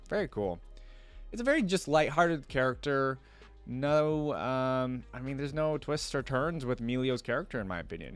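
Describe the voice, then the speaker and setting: A man hosting a reaction video, reading story text aloud and commenting in an informal, rambling, conversational way. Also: mid-range voice. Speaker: YouTube reaction video host.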